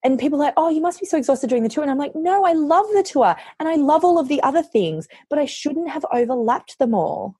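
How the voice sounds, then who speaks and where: A woman author, hoarse from losing her voice, chatting casually on a podcast and telling lively stories, often quoting herself. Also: high-pitched, quick pace, few pauses, no echo. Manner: animated storytelling, quotes herself.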